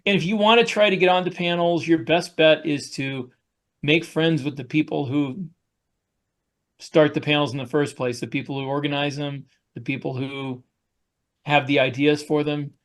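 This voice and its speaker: A man's voice: on the high side, steady tone, normal speed. A man co-hosting a podcast, casually handing out advice in a blunt, wisecracking way. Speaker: author and podcast co-host. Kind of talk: casual advice-giving chat.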